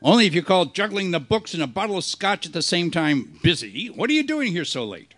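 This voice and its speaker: A man playing a world-weary, wisecracking private detective in a hard-boiled radio play, delivering his lines deadpan. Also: sits high, brisk, slight background noise. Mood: wry. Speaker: amateur actor in a live radio play. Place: live performance on a cruise ship.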